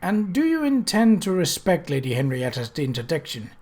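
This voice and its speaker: A composed gentleman bachelor, acted by a male narrator from a period storybook. He speaks in a mild, understated way, sober with dry amusement. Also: a little high, huge pitch swings, moderate pace. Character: calm, dryly amused English gentleman bachelor.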